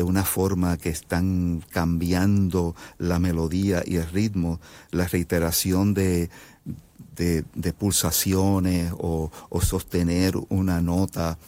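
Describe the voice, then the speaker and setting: A Puerto Rican man, a composer, explaining his music in an interview, halting often with fillers and restarts. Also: low-pitched, darkish tone, no echo. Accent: Puerto Rican Spanish. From Puerto Rico. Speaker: composer guest. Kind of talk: interview answers.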